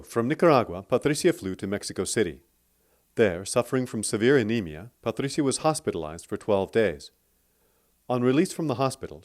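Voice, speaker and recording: A man narrating a scripted radio documentary in a steady, clear storytelling manner. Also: on the low side.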